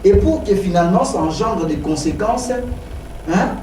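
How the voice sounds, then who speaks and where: A man with a Congolese accent making a political debate speech, halting and emphatic, repeating his key phrases. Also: high-pitched, lively intonation, dark tone. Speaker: political party spokesman, debate guest. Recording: roomy, distant mic, clearly noisy.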